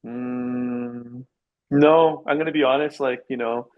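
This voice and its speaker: A man's voice: medium pitch, fairly even intonation, phone-quality sound. Upbeat man, casual chatty guest. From Canada. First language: Korean heritage, English first. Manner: upbeat, lots of quick yeses and laughs. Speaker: realtor guest. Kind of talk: casual podcast chat.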